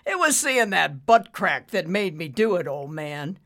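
An arrogant bank boss played by a woman barks out his lines with loud, demanding force. His pitch jumps around as he bullies and dismisses people, in the manner of an audiobook villain. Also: big pitch swings, a little slow. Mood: mocking. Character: rude, bullying, arrogant male bank boss. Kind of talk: audiobook character voice.

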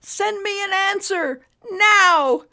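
A woman's voice: very high voice, slow pace, pitched above the performer's own voice, exaggerated voice. A woman reading a storybook voices a young girl who talks urgently and insistently. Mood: urgent, excited. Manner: urgent, excited, pleading.

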